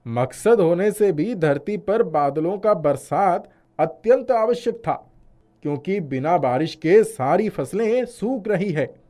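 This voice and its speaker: A performer acts out a proud, stubborn male cloud from a fairy-tale cartoon. The voice swoops widely in pitch and sounds pompous and sure that it is always right. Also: lively intonation, takes it slowly, dark tone. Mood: smug, defiant. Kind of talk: animated storybook character acting.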